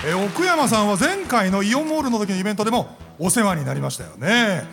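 An actor playing a middle-aged, slightly roguish dandy department manager, bantering at a live radio drama event. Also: male voice, sits high, sing-song, fast talker, gravelly, over a noisy crowd, full character voice. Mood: playful. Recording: live event with music behind.

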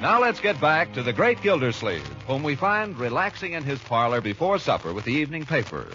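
A man working as a radio announcer, reading ads and narration in polished 1940s style with deliberate emphasis. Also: a little high, sing-song, bright, forceful tone, faint music behind. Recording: muffled vintage radio, faint hiss.

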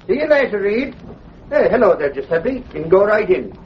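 A man's voice: sits high, sing-song, fairly quick. An actor playing a nosy, blunt male sidekick in an old radio drama, talking informally and eagerly. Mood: curious. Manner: informal, inquisitive. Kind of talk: 1940s radio drama acting. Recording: muffled old radio recording, faint noise.

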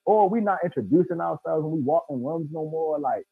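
Fast-talking husky man on a tinny line. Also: on the high side, animated, no room sound. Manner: earnest, emphatic, keeps saying bro. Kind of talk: casual podcast chat. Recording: tinny phone-like sound, dry.

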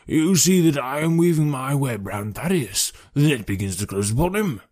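A man voicing a stout, self-satisfied middle-aged policeman in an audiobook story, with a muffled, husky, wheezy voice and a hearty, pompous manner. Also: mid-range voice, lively intonation, normal speed, a little mellow, dry recording, voice pushed up, lightly acted. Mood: smug reasoning. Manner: hearty, pompous, repeating his phrases.

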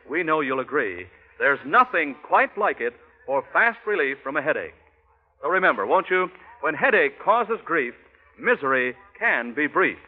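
Lively American vintage radio pitchman. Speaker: radio commercial announcer. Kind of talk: old-time radio advertising pitch, scripted. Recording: narrow-band old radio broadcast.

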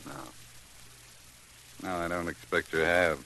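An American actor playing a condescending, fussy police lab technician in an old radio drama, pedantic and sardonic. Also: male voice, low voice, little pitch movement, bright, forceful tone, exaggerated voice, poor audio. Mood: smug, needling. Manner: pedantic, sardonic, drawn-out needling. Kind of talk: 1940s-50s radio drama acting. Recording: hissy old radio recording.

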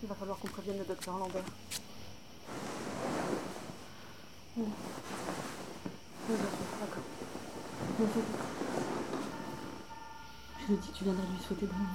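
A woman's voice: medium pitch, steady tone, moderate pace, pauses often, very noisy, close to an everyday voice. An actress in an audio drama playing an anxious, loving young mother who scolds her child, in a naturalistic style. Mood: hushed, afraid. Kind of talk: naturalistic audio fiction acting.

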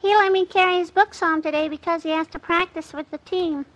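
A woman's voice: very high-pitched, fairly even intonation, fairly quick, fairly bright tone. A woman playing a chatty, cheeky little schoolgirl in an old-time radio comedy. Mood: artless, confiding. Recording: dull vintage radio recording.

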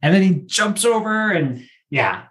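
A man's voice: high-pitched, very animated, a little slow, fairly bright tone. A man hosting a podcast in a casual, chatty fan-discussion style. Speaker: podcast host.

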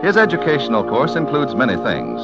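A man's voice: medium pitch, huge pitch swings, fairly quick. A man announcing and narrating a 1940s radio drama in a formal, theatrical manner. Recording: dull vintage radio, loud music behind. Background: music.

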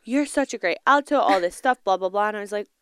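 A young woman chats on a podcast with a slightly nasal, closed-off tone. She rambles along with dry humor, her voice smooth and clear. The recording is close and dry. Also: medium pitch, fast, dry recording. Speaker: podcast co-host. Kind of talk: casual chat.

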